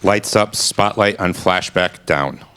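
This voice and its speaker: A man with a low, deep voice reads out the stage directions for a radio play. He keeps it plain and matter-of-fact, with no dramatic flourish. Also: slow, pushed and bright, clean tone. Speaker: narrator reading stage directions.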